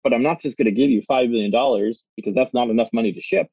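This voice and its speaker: Gravelly, husky-voiced man in his thirties. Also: medium pitch, fast, warm tone, no echo, lo-fi sound. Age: 30s. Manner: rambling, many "like" fillers. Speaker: startup founder and CEO. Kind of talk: casual interview chat. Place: small confined phone booth.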